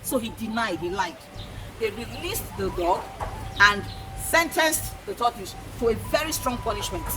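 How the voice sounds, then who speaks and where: A woman's voice: fairly high pitch, lively intonation, moderate pace, low-quality recording. A woman with a Nigerian accent who tells folktales to children as an educator, repeating points and asking questions in a teacherly way.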